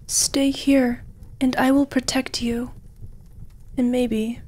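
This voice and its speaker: A woman voicing a gentle, seductive dragon lady in a fantasy ASMR roleplay, soft, breathy and half-whispered, with an intimate, tender manner. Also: sits high, level tone, slow. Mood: tender, pleading.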